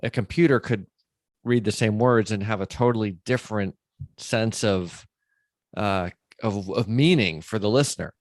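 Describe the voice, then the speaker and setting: Low-voiced male podcast host, close dry sound. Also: moderate pace, dry recording. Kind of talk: conversational podcast interview.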